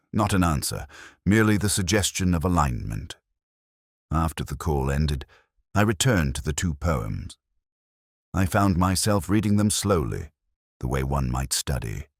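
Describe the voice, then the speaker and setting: A man with an extremely deep voice narrates a literary mystery in the first person. His delivery is measured and reflective, yet the pitch moves expressively across phrases. The sound is dry, with no room on it. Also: no echo.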